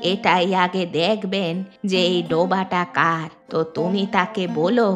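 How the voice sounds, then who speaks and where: Woman as earnest, low-pitched old village grandmother. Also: fairly even intonation, unhurried, fairly bright tone, soft background music. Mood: earnest, conciliatory. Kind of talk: Bengali cartoon voice acting.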